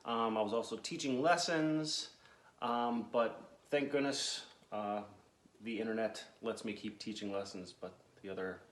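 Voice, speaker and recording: A man's voice: fairly low pitch, unhurried. A man with an American accent, chatting casually as an interview guest in a roomy recording. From Missouri, United States. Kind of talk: casual chat.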